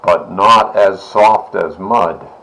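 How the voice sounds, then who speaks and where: A man voicing a small, plucky, mud-loving pig in a storybook, with drawn-out exclamations. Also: low-pitched, sharp tone, exaggerated voice, low-quality recording. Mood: eager.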